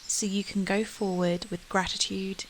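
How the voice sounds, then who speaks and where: A woman leading a guided meditation in a calm, soothing manner with long pauses. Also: medium pitch, a little hiss or hum, poor audio. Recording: steady outdoor nature ambience with birdsong. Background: birdsong and nature ambience.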